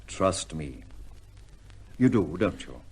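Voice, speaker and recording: An actor playing a shrewd, fatherly upper-class gentleman investigator in an old-time radio drama, with a commanding, wry, questioning manner. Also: deep voice, very animated, slow, a little hiss or hum, heavily acted. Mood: gently probing.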